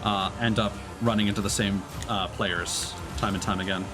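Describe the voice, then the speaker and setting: A man's voice: on the low side, fairly even intonation, moderate pace, music in the background. A man livestreaming a video game, giving casual, explanatory commentary. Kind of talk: casual live gaming commentary.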